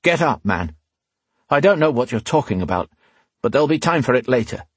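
A man's voice: mid-range voice, big pitch swings, fairly quick, slightly rough, no echo, voice pushed up. A man playing an intense, earnest young hero in a fantasy audiobook, speaking with emotion and resolve. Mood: resolute. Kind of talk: fantasy audiobook character acting.

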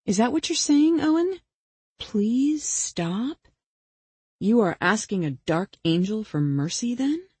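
A woman's voice: on the low side, animated, normal speed, hardly any pauses, a little mellow, husky, dry recording. A woman voicing a vengeful young female killer in an audiobook, taunting and mock-patient as she puts pointed questions. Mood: cold, accusing. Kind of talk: dramatic audiobook character dialogue.

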